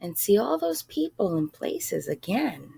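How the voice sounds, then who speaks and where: Lively, slow-paced woman reading a storybook. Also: mid-range voice, vocal fry, husky. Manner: animated, drawn-out phrasing. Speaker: storybook reader. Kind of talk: expressive picture-book read-aloud with casual asides.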